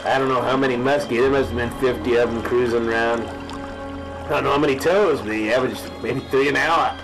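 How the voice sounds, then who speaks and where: A man's voice: medium pitch, steady tone, a little fast, lightly acted, rough recording. Man playing a grave old-salt fisherman over music. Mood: grave, haunted. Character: grizzled veteran muskie fisherman recounting a grim ordeal, spoofing a salty sea hunter. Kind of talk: parody radio drama monologue.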